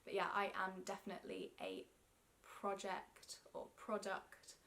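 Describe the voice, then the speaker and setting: A young woman from London speaks to camera in a casual, chatty self-interview. Her London English accent is clear, and she talks in a friendly, slightly awkward way, often pausing with ums. It is recorded indoors in a small room. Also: medium pitch, very animated, bright, forceful tone. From England. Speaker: knitting vlogger. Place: indoor room with yarn shelves.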